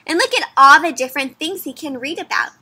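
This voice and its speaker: A woman in her twenties reads aloud to young children in a high, very bright voice. Her delivery is animated and sing-song, and she keeps turning to her young listeners with questions as she goes. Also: a little fast. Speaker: children's storybook reader and host. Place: outdoors in a leafy yard.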